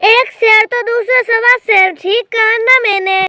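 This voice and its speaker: A woman's voice: very high-pitched, fairly even intonation, unhurried, pushed and bright, no echo, studio quality, heavily acted. A woman voicing a middle-aged, exasperated mother bird in a cartoon story, scolding and lecturing with affectionate words. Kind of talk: Hindi cartoon story dialogue.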